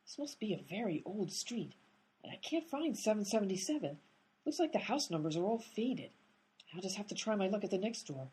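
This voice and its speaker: A brisk, exasperated amateur sleuth, played by a woman in a radio comedy. Her voice is very breathy and her pitch leaps widely as she bosses people around. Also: very animated, dark tone, rough recording. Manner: exasperated and bossy. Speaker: lead character, amateur sleuth. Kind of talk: comic radio play acting.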